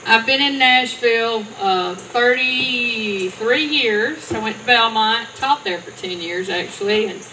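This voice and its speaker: A woman's voice: on the high side, bright, forceful tone, a little hiss or hum. Woman, fifties, chatty, outdoor stage, handheld mic, distant sound. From Alabama. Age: 50s. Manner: chatty, quick to share her career. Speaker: songwriter contestant. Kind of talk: onstage interview.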